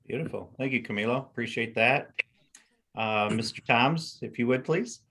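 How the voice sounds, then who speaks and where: A man's voice: mid-range voice, moderate pace, fairly bright tone. A middle-aged man hosting a panel over a video call, upbeat and chatty, with many fillers. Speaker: panel host.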